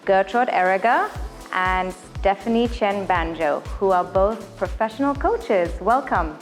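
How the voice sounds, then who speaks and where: A woman's voice: mid-range voice, unhurried, faint music behind. Woman host introducing guests. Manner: welcoming, scripted introduction.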